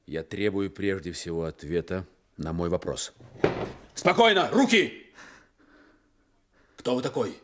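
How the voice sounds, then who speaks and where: An actor playing a brash, hearty Red cavalry commander in a Soviet radio play, with a husky voice and colloquial, animated delivery. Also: male voice, deep voice, unhurried, pauses often, exaggerated voice. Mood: stern.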